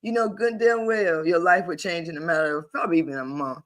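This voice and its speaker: A man with a notably high-pitched voice hosts a live online session for his membership group, talking casually about energy and self-awareness. He speaks emphatically, repeats phrases as he builds a point, asks the listener rhetorical questions and laughs easily, all in a loose, folksy style. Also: steady tone, quick pace, a bit bright. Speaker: host of a paid spiritual membership group.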